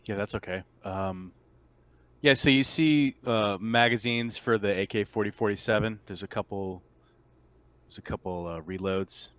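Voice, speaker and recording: A man speaking as game master, casually narrating a tabletop roleplaying game and pausing to think as he describes things. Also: on the low side, a bit bright, smooth.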